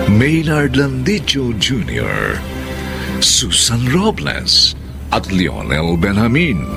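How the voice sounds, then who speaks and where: Male radio drama host: gravelly, very animated, deliberate and theatrical, over music. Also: fairly low pitch. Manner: deliberate, theatrical announcer delivery. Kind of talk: dramatic scripted radio drama narration and credits.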